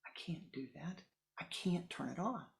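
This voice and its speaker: A storyteller voicing a fretful woman consumed by criticism, complaining in a shrill, aggrieved way. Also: expressive, normal speed, vocal fry. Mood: helpless.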